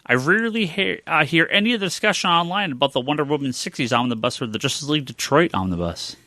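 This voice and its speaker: Man, podcast host, lively swooping pitch, forceful bright tone, close dry sound, casual joking banter. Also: quick pace, no echo. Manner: animated, joking, swears casually. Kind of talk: casual comic book podcast chat.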